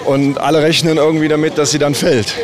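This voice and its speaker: A man's voice: a little high, fairly even intonation, fast. A male reporter asking probing questions in an outdoor interview. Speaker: radio reporter. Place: outdoors at a crowded stadium fest. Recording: crowd noise behind, outdoors.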